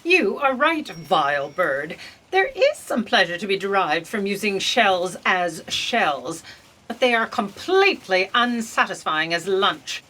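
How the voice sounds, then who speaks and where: Woman acting a gluttonous female boss, imperious, sharp bright voice, dramatic swoops, comic audio drama. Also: medium pitch, sing-song, a little slow, full character voice, low-quality recording. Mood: haughty. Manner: haughty, theatrical.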